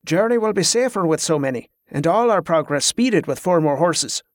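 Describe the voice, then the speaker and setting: An imposing, presumptuous warrior priest acted out by a man in a fantasy audiobook. He has a thick invented accent and a somewhat high pitch. His clipped, inverted phrases turn requests into commands, in a very dry recording. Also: animated, normal speed, no room sound, lightly acted. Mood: pressing, presumptuous. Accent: thick fictional foreign accent.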